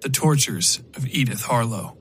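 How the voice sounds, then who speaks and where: Man narrating dark fiction over music. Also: mid-range voice, animated, normal speed. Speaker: podcast host and storyteller. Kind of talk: scripted podcast intro and horror story narration.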